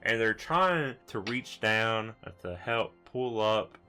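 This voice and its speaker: Man, tabletop game narrator, improvised delivery, smooth forward tone, quiet music underneath. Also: fairly low pitch, slow pace.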